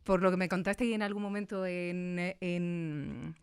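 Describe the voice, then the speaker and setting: A woman's voice: on the low side, measured pace, smooth. A woman with an accent from Spain, interviewing in a conversational podcast style. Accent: Spanish from Spain.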